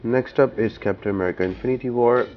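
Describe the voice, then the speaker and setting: A man's voice: medium pitch, quick pace, lo-fi sound, a little hiss or hum. A man giving a casual game walkthrough commentary, explaining tactics step by step. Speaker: gaming guide host.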